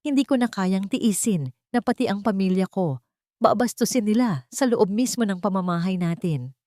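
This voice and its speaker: A woman's voice: mid-range voice, lively intonation, normal speed, warm tone, dry recording, studio quality, natural voice. A woman voicing a fed-up wronged wife in a story, emphatic and pleading. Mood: pained, pleading.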